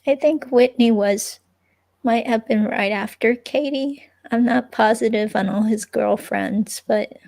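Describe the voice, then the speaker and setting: A woman reading the part of an evasive, unsure ex-girlfriend in a police interview, with a creaky, hesitant, rambling delivery. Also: a little high, steady tone, takes it slowly, dark tone. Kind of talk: dramatic reading of an interview transcript, conversational.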